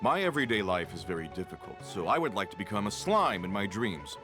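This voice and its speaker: A weary townsman from a video game, tired of his everyday life and asking earnest, plaintive questions. His voice is fairly low yet swings lively up and down. Game music plays clearly behind him. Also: fast talker. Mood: wistful. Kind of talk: game voice acting. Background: background music.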